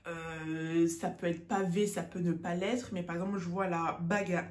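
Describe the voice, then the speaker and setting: A woman's voice: on the low side, level tone, moderate pace, darkish tone. Chatty woman, echoey room. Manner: chatty, many hesitations, mixes in English words. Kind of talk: casual chat to camera.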